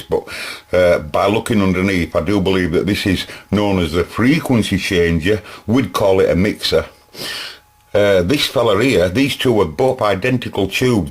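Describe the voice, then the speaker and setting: A middle-aged man with a Northern English accent gives an informal hands-on technical walkthrough, rambling chattily and asking himself questions as he goes. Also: sits low. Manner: chatty, rambling, self-questioning, chuckling asides. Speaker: vintage radio repair hobbyist and presenter.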